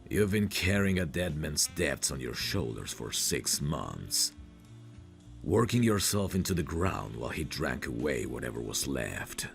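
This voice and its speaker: Man acting a very deep, gravelly mafia boss. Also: sing-song, faint music behind, heavily acted. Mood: commanding, possessive. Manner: slow, deliberate, self-assured, coaxing with pet names. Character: possessive, protective mafia boss, smooth-talking and menacing. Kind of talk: audio roleplay, intimate monologue to a listener.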